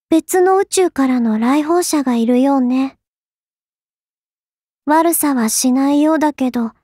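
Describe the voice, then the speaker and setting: A young goddess swordswoman for an anime game, high-voiced and unhurried. She is cool and proud, slipping into muttered, flustered asides. The sound is dry and studio-close. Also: mellow, no room sound. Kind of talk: anime game character voice lines.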